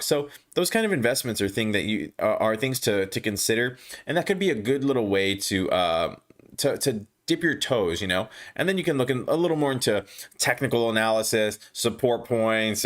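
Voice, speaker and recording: A young man hosting a casual stock livestream, reading viewer comments aloud and answering them. He talks haltingly with many restarts and fillers, and his voice is going hoarse. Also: on the low side, a bit bright. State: losing his voice, clearing throat.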